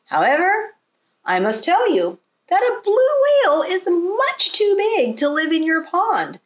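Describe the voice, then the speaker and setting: A woman's voice: extremely high pitch, sing-song, measured pace, voice pushed up, full character voice. Polite but firm male schoolteacher for a storybook, read by a woman; formal, patient, very varied intonation. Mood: instructive.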